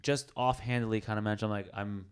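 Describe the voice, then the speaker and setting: A man's voice: fairly low pitch, brisk, clean tone. A young man hosting a podcast, telling stories in casual chat and laughing often. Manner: animated storyteller, laughs a lot. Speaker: podcast host.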